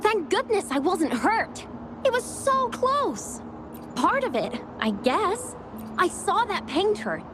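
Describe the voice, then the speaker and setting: A woman playing an earnest, spirited young woman in a video game, talking in an expressive, colloquial way. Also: extremely high pitch, takes it slowly, music in the background, full character voice. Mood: excited, rattled. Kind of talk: video game character dialogue.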